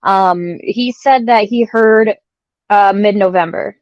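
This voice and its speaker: A woman from central Illinois chatting casually on a livestream call, sniffly and stuffed up from allergies, talking fast and laughing often. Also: mid-range voice, dry recording, muffled recording. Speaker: guest co-host on a crypto stream. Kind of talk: casual chat.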